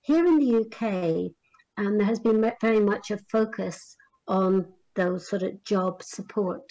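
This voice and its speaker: A middle-aged woman from Northern Ireland addresses a conference, speaking at a measured pace. She often pauses on small fillers and starts her phrases over. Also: mid-range voice, dark tone, muffled recording. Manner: measured, with frequent fillers and restarts. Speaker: conference speaker on career guidance.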